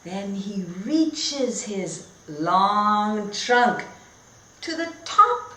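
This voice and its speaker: A woman teacher demonstrating read-aloud storytelling and instruction in simple, expressive phrasing. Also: medium pitch, extremely slow. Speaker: teacher trainer. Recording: echoey room.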